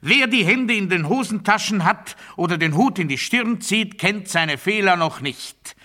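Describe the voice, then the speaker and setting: An actor playing a pompous, paranoid new ruler in a radio play monologue, declaiming to his people in a sharp, forceful, theatrical voice that moves between proclamation and confiding. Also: high-pitched, animated, unhurried, exaggerated voice. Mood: grandly proclaiming. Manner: declamatory oratory, swinging from loud proclamation to hushed confiding.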